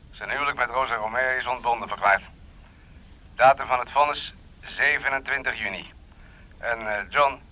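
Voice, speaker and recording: A man's voice: mid-range voice, bright, forceful tone, low-quality recording. An actor in a Dutch radio play playing a businesslike man reporting case news in a factual, clipped manner.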